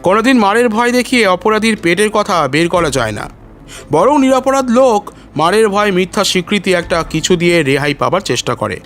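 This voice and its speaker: A man playing a calm, firm, courteous gentleman detective in an audio story, speaking politely and with assurance. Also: high-pitched, brisk. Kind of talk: Bengali audio story acting. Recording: music behind the voice.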